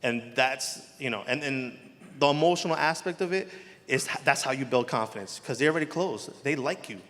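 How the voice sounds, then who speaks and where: A man's voice: mid-range voice, brisk, a bit bright. A man in his forties with a heavy New Jersey accent, a mortgage lender chatting casually on a conference panel, self-deprecating and repeating himself. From Elizabeth, New Jersey. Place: conference hall. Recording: echoey hall.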